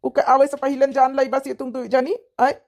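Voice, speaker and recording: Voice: high voice, flat delivery, moderate pace, darkish tone. A performer voicing a furious, accusing village man in an Awadhi cartoon comedy, scolding in a melodramatic way. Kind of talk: dramatic comedy cartoon dubbing.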